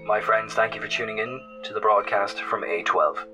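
Ominous survivor broadcaster, deep pitch, radio effect, solemn horror audio drama, music behind. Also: male voice, steady tone, moderate pace, poor audio. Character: ominous male broadcaster warning survivors in the dark. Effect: radio static effect.

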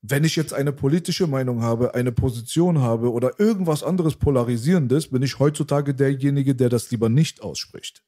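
A man's voice: mid-range voice, normal speed, few pauses, warm tone. A man in a casual chat about rap, talking in loose slang and rambling on, tossing in "bro" a lot. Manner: slangy, rambling, says "Digga" and "Bruder" often.

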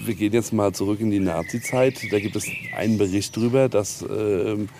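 A man's voice: fairly low pitch, steady tone, moderate pace. A man guiding an informal castle tour outdoors, explaining history in a hesitant way with many ums. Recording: noisy outdoor location recording.

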